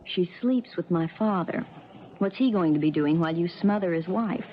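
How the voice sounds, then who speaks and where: A woman's voice: lowish voice, moderate pace, natural voice. An actress playing a spoiled, scheming young rich woman, cold and insistent, in a 1970s radio drama. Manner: insistent, imperious. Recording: muffled, noisy old radio recording.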